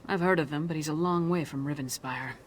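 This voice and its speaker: A woman's voice: sits low, fairly quick. A woman voicing a big, tough, no-nonsense warrior in a video game. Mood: gruff, businesslike. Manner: blunt, no-nonsense. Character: large, tough, blunt female axe-wielding warrior. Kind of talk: video game character acting.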